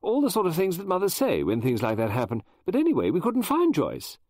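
A man doing a shaken middle-aged woman writer, breathless and faltering, with a raised voice that leaps all over the place. She restarts her sentences in a hurried rush. The recording is close and dry, with no room sound. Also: high-pitched, wildly swooping pitch, darkish tone, no echo, voice pushed up, heavily acted. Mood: distraught. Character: shaken, flustered middle-aged female novelist.

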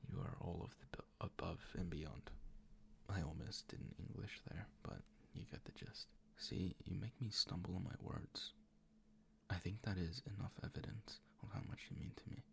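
A man performing improvised boyfriend ASMR in a breathy, gravelly whisper, tender and soothing, close to the microphone. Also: deep voice, a little hiss or hum. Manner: tender, slow, soothing, gently coaxing.

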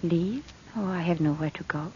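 An actress playing a frightened, fragile wife who doubts her sanity, pleading and halting in 1940s radio drama style. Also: fairly low pitch, a little mellow, natural voice. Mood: anxious, weary. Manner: pleading, halting, breathy with fear. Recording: dull, noisy old radio recording.

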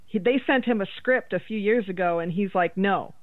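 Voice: mid-range voice, moderate pace, no echo. A podcast co-host in casual chat, agreeing and backing up what is said. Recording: thin phone-like line, dry.

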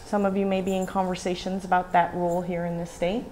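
A middle-aged woman giving a conference keynote at a podium microphone in a hotel hall, animated and often asking "right?". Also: fairly low pitch, quick pace, slight background noise. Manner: animated, gestures widely, asks rhetorical "right?". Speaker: keynote speaker.

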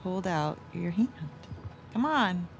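A confident middle-aged American wife with an appetite for adventure. Her voice has a pronounced creaky rasp and an assured, teasing conversational delivery, in a story-driven video game with music underneath. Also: on the low side, animated, slow, exaggerated voice. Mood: amused, coaxing. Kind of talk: naturalistic video game cutscene acting.

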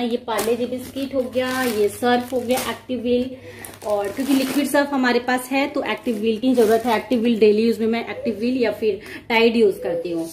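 A woman's voice: medium pitch, darkish tone, slight background noise. A young woman from India chatting casually to viewers in a home vlog, explaining things step by step in her kitchen. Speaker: vlogger. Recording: echoey home room.

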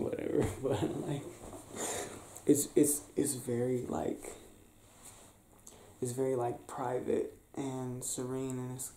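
A young man speaks softly and slowly in an intimate ASMR boyfriend roleplay. His delivery is affectionate and playful, with halting, improvised phrasing and plenty of fry in the voice. It is recorded in a bedroom with some room sound and a faint noise. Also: mid-range voice, reverberant. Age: young adult. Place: small indoor bedroom, lying on a bed.

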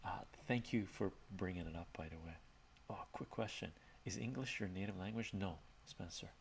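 A middle-aged man, Armenian by birth, chats in a relaxed, rambling way with fillers, as a livestream host in his home study. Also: low-pitched, normal speed, creaky, a little hiss or hum. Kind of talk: casual soft-spoken chat with viewers.

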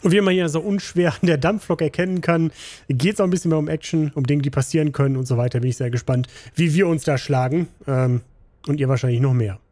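A slightly hoarse, tired man casually commenting on a game he plays, rambling with many fillers. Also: a little high, fast talker, warm tone. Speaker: gaming channel host.

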